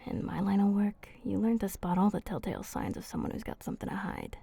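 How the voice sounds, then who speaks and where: A woman voicing a flirty, teasing female vampire in an ASMR roleplay, soft and husky, speaking intimately and haltingly. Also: mid-range voice, steady tone, no room sound.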